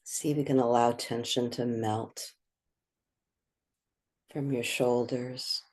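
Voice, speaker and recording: Slow, soothing meditation guide. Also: mid-range voice, little pitch movement, darkish tone. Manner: soothing, measured, with long pauses. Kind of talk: guided meditation, gentle and contemplative.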